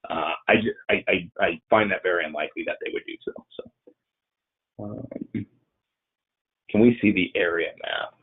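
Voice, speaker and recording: A man's voice: low-pitched, moderate pace, pauses often, slightly rough. A man presenting and taking questions in an informal webinar, conversational and rambling, sounding nasal and congested with a cold. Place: online presentation. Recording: muffled band-limited online webinar audio.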